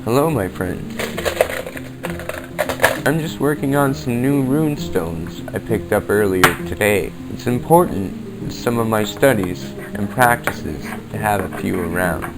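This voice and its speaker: Low, gravelly calm occult teacher, acted by a man. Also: poor audio. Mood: instructive. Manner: measured, explanatory, addresses listener as friend. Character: calm occult practitioner teaching spiritual protection. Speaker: podcast host playing a practitioner of spirit work. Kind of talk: scripted instructional narration in old-time radio style. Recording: homemade low-budget recording with music underneath. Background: clear background music.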